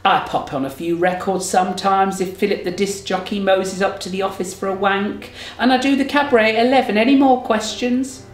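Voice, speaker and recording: A man voicing a camp, kindly English cabaret entertainer in his fifties for an audiobook, with crisp, theatrical, teasing delivery. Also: high voice, steady tone, brisk, hardly any pauses, voice pushed up, lightly acted. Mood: amused, theatrical. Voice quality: crisp, well presented. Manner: arch, teasing, theatrical, delicate. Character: camp, kindly, worldly fifty-ish male cabaret entertainer. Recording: roomy echo, faint music behind.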